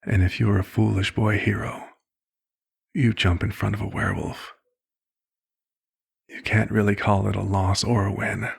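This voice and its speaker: A man playing an old, compassionate vampire doctor in an ASMR roleplay, with a husky, gravelly voice and a measured, slightly formal, paternal manner. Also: fairly low pitch, level tone, heavily acted. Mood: reflective, somber.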